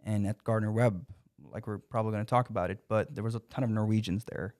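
A man with a lowish voice asks easygoing follow-up questions as a podcast co-host. The sound is dry and close on a desk mic. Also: steady tone, quick pace, a little mellow, no room sound. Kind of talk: casual podcast interview. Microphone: desk podcast mic with headphones.

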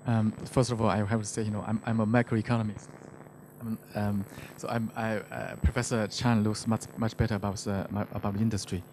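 A middle-aged man speaking as an economist on a panel, hesitant and halting, often repeating words into a handheld microphone. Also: fairly low pitch, level tone, darkish tone. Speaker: macroeconomist, conference panelist. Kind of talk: panel discussion, unscripted explanation. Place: conference stage, indoors. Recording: faintly noisy conference stage microphone.

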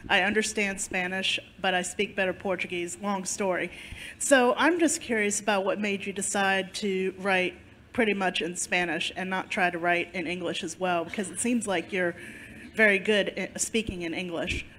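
A woman's voice: mid-range voice, fairly even intonation, normal speed, a bit bright, slight background noise. An American woman in the audience politely asking a guest writer a question. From United States.

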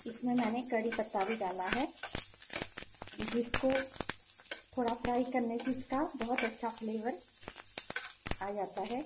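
A woman calmly talking through a home cooking recipe step by step, in a patient, instructive way. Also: on the high side, measured pace, pauses often, very noisy. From Himachal Pradesh, India. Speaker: home cooking channel host. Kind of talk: step-by-step cooking demonstration voice-over. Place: home kitchen.

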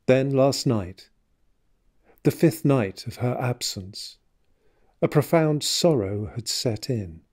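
A slow, solemn male narrator. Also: medium pitch, a little mellow. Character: solemn male narrator reading a novel chapter. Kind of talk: literary story narration in an audio drama.

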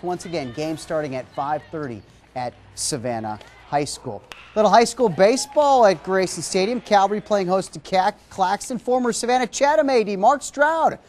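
A middle-aged man anchoring sports news in a TV studio, reading scripted stories and calling highlights. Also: expressive, moderate pace, darkish tone, a little hiss or hum.

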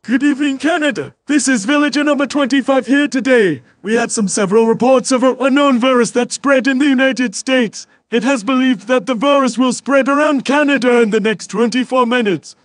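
Very high-pitched man as a formal villager newsreader. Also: brisk, hardly any pauses, dry recording, clean studio sound. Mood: formal, serious. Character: villager news anchor, formal and earnest. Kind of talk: scripted news bulletin.

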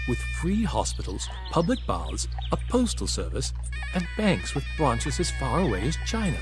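An actor playing a weary, reflective captive Norman knight, narrating his journal in a measured, solemn, formal way for game cutscenes. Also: medium pitch, lightly acted. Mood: solemn, wondering.

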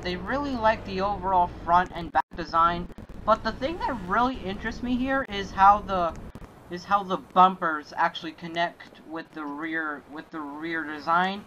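A host of a casual gaming car review talks with a group in a halting way, often repeating phrases. The pitch is medium, and faint car and game noise sits behind the voice. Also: sharp tone. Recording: noisy game and vehicle sounds.

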